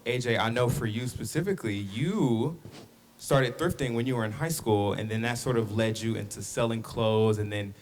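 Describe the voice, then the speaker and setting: A man's voice: medium pitch, moderate pace, echoey room, slight background noise. Upbeat male podcast host. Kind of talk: podcast hosting.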